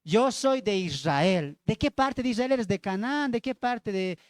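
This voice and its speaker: A man's voice: high voice, expressive, measured pace, clean tone, no echo, clean studio sound. A man with a Bolivian accent preaching and teaching from the Bible, emphatic, repeating phrases and putting questions to his listeners. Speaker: evangelical preacher.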